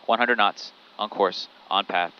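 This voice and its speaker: A man's voice: lowish voice, steady tone, measured pace, sharp tone. A man piloting solo, giving methodical, terse cockpit commentary with repeated checklist callouts, over an aviation headset. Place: small airplane cockpit in flight. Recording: muffled aviation headset audio, noisy cockpit.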